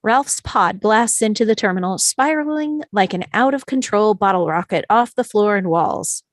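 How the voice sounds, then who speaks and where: A woman reading scripted stage directions as narrator at an animated film table read. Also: medium pitch, normal speed, no room sound, clean studio sound.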